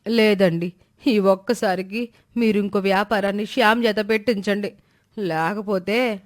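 A woman's voice: mid-range voice, fairly even intonation, unhurried, natural voice. A woman voicing a doting older mother who scolds, pleads and nags in a dramatic way in a moral story. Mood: pleading, stubborn.

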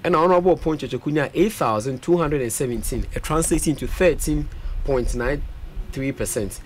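A young man with a Ghanaian accent speaks into a studio mic on a radio talk show. His voice sits a little high, and he switches between Twi and English while listing election figures. A faint background hum stays under him. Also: fairly quick. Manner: mixes Twi and English, reels off vote figures. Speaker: parliamentary aspirant guest. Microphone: studio mic on a boom.

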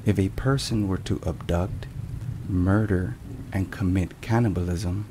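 A man giving a scripted, solemn ASMR devotional narration in a soft, measured and deliberate way. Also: low voice. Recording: steady rain and thunderstorm noise. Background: rain and thunder.

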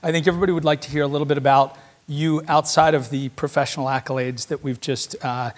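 Slightly high-voiced man moderating onstage. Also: steady tone, fast talker. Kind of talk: conference fireside chat. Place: large auditorium stage.

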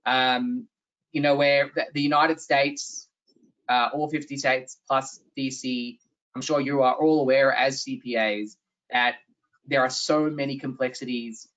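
A man's voice: medium pitch, fairly even intonation, unhurried, fairly bright tone, far from the mic. A man with an Australian accent presenting a tax webinar over a video call, earnest and explanatory, with frequent "uh"s and restarts. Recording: slightly dull video-call audio.

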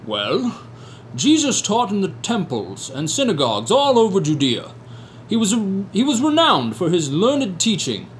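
A man plays an earnest rabbi on the witness stand in a radio play. His voice sits high and swoops widely as he explains. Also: huge pitch swings, measured pace, slight background noise, heavily acted.

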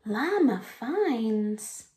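A woman in her thirties with a fairly high voice. She talks slowly and deliberately, as a children's teacher guiding young viewers. She is recorded indoors at home, a short way from the camera. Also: big pitch swings, dark tone. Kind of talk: instructional craft video and read-aloud for children. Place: small home room, indoors.

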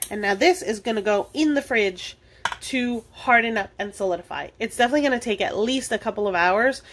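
A woman hosting an informal step-by-step cooking tutorial, explaining casually as she works with her hands at a kitchen counter. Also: mid-range voice. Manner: casual, explanatory, talking while working with hands. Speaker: cooking demonstrator, host of a library cooking show.

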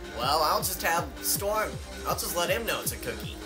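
A man's voice: on the high side, animated, moderate pace, over loud music, close to an everyday voice. A man voicing a cocky, wisecracking young cartoon hedgehog racer in a flippant, improvised comedy fandub. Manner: flippant, teasing.

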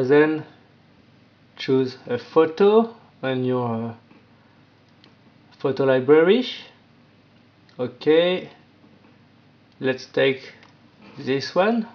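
A man presenting an informal step-by-step app tutorial, with halting, non-native phrasing. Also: medium pitch, expressive. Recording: dull, lo-fi sound.

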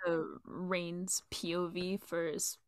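A woman's voice: fairly low pitch, little pitch movement, slow pace, no room sound, clean studio sound. Easygoing woman friend on a call. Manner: brief, joking remarks. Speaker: guest friend on stream. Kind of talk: casual chat.

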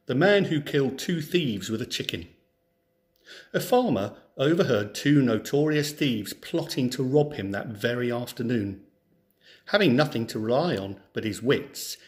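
A man tells a children's story. His delivery is theatrical and expressive, and his medium-pitched voice rises and falls widely. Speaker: storyteller, teacher. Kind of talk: animated children's story reading.

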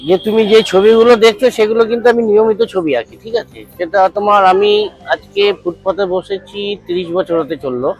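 High-voiced middle-aged Bengali shopkeeper, male. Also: fairly quick, faint music behind, rough recording. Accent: Kolkata Bengali. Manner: conversational, checking the listener follows. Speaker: coffee stall owner and cartoonist. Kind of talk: informal interview answers.

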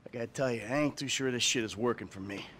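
An actor playing a cynical middle-aged ex-criminal in a video game, venting with profane sarcasm. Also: male voice, mid-range voice, fast talker, fairly bright tone, slight background noise, lightly acted. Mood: resigned, sardonic. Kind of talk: naturalistic video game cutscene acting.

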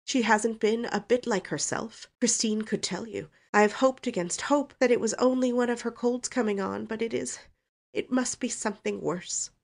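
A woman's voice: medium pitch, measured pace, hardly any pauses, dark tone, pitched above the performer's own voice, natural voice. A woman voicing a distressed, long-suffering middle-aged mother in a storybook, moaning and plaintive. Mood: tearful, plaintive.

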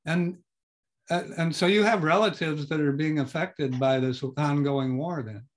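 Older male podcast host, slightly high pitch, slow and searching on a video call. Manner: slow, searching for words while asking questions. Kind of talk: interview questions. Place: home office with bookshelves.

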